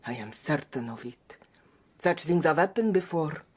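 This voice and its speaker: An actress playing a menacing, fatalistic Victorian widow with an ominous, deliberate delivery in a radio drama. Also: female voice, low voice, lively intonation, a little mellow, breathy edge. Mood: ominous, intense.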